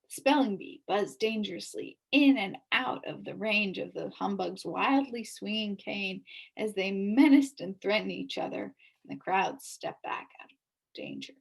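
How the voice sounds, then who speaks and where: Unhurried, breathy woman reading a storybook. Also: mid-range voice, poor audio. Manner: unhurried, expressive. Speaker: reader. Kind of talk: reading a children's novel aloud.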